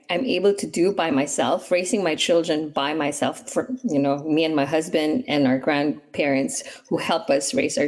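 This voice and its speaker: A woman's voice: medium pitch, darkish tone. A middle-aged woman from the Philippines, a real estate agent, answering interview questions earnestly with fillers. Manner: earnest, explanatory, with many fillers.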